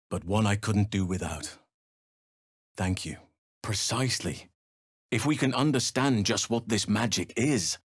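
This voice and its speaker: Fantasy game elf druid: lowish, dry sound, wise, steadfast, measured and formal. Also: male voice, a bit bright, slightly rough, no room sound, heavily acted. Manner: measured, formal, solemn phrasing. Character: large wise gentle elf druid man, earnest and steadfast. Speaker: video game companion character. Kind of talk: acted fantasy game dialogue.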